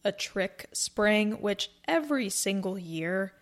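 A woman's voice: medium pitch, expressive, slow pace. Chatty young woman rambling on a podcast. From North Carolina, US. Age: 20s. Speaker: podcast host. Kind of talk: casual solo podcast chat. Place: cozy indoor room.

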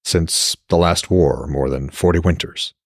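A man's voice: rumbling low, unhurried, no room sound, clean studio sound. A man voicing a devoted, troubled warrior in a fantasy audiobook, speaking in a subdued, earnest way. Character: devoted blind warrior god, long-lived, troubled lover. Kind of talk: fantasy audiobook character dialogue.

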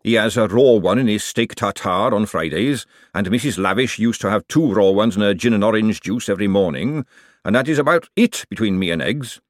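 A man's voice: fairly low pitch, expressive, brisk, no room sound, studio quality, natural voice. A man voicing a nervous, servile male chef in an audiobook, hesitant and deferential. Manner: hesitant, servile, repeatedly saying "sir". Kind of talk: audiobook character dialogue.

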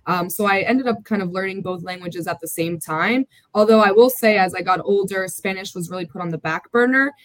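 A woman's voice: medium pitch, brisk, few pauses, no echo. An American woman guest, a bit under the weather, talking casually and chattily in an interview over a remote call. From United States. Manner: chatty, upbeat, talkative. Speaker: podcast guest.

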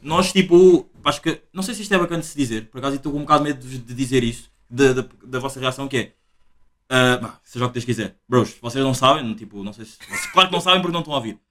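A man's voice: mid-range voice, a bit bright. A young man with a European Portuguese accent hosting a casual podcast chat, full of filler words. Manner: rapid, uses filler words a lot. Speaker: podcast host.

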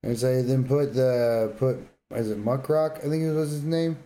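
A man's voice: medium pitch, moderate pace, crowd noise. A man co-hosting a podcast, talking casually about games in a blunt, emphatic, opinionated way. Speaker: podcast co-host. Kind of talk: casual gaming banter and debate.

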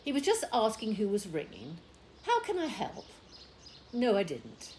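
An actress playing an indignant, fussy British village wife in a radio play, with a theatrically polite manner. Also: female voice, medium pitch, wildly swooping pitch, unhurried, a little mellow, a little hiss or hum. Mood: brightly polite. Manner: theatrical, exaggeratedly polite on the phone.

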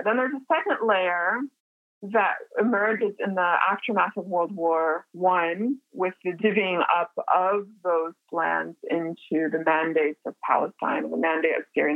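A woman born in the United States explaining history in a measured lecture style, with occasional fillers. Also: on the low side, no room sound. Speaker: interviewed scholar. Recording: tinny phone-like line, faint music.

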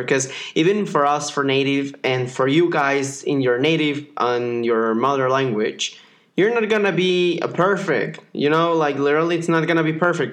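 A man with a Mexican accent and a slightly high voice speaks English as a native Spanish speaker. He chats casually, explaining things in an informal, conversational way as a podcast co-host. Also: fairly bright tone.

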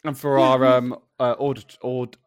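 A man's voice: medium pitch, flat delivery, measured pace, smooth, no echo. Playfully dramatic man, dry close sound. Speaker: podcast co-host. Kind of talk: casual comic banter.

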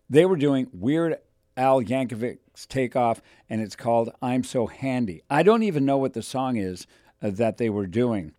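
A man in his forties hosting a radio talk show, telling stories in an animated way and putting on voices when he quotes people. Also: medium pitch, no echo, clean studio sound. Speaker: radio host. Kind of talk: casual radio show chat. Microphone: studio microphone.

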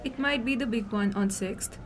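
Young woman acting high-voiced, polite shopkeeper. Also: moderate pace, lightly acted. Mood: polite, businesslike. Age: young adult. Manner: polite, matter-of-fact. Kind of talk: amateur student radio drama.

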